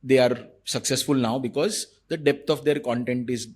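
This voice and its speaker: A man's voice: mid-range voice, fairly even intonation, normal speed, a little mellow. Thoughtful man explaining at an easy pace. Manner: thoughtful, explanatory, frequent fillers like "you know". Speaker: marketing executive guest. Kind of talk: conversational interview answers.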